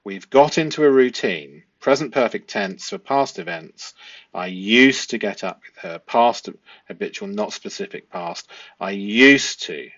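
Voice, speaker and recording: A man giving analytical commentary as a host, reading from a transcript in halting, stop-start phrases and stressing key words. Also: fairly low pitch, lively intonation, a bit bright, no echo. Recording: dull, muffled lo-fi audio.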